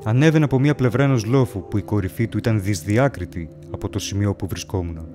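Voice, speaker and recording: A haunted, feverish wanderer, acted by a man, recounts his horror tale in a low voice. He is solemn and brooding, with the literary delivery of an audio drama. Prominent music plays beneath him. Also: close to an everyday voice. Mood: anxious, tense. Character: haunted, feverish male wanderer lost among ancient graves. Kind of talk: dramatic first-person horror story narration. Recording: loud music under the voice.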